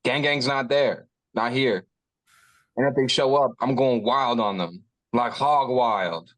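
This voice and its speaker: A man's voice: medium pitch, slow pace, no room sound, natural voice, low-quality recording. A man reading the part of a cocky, goofy stoner gunman who rambles and jokes his way through excuses. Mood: cocky, easygoing. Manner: rambling, joking, breaks into chuckles. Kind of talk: casual table read of a script.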